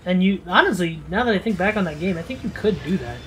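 A man co-hosting a let's play with casual, excitable, jokey and sweary commentary. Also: high voice, quick pace, slight background noise, poor audio. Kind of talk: casual video game commentary. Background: horror game sound.

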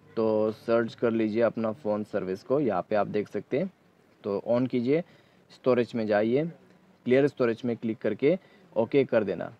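A man's voice: on the low side, moderate pace, lo-fi sound. A man hosting a step-by-step phone tutorial in an instructional manner, often checking in as he goes. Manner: instructional, repeatedly checking in with "okay". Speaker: tech tutorial host.

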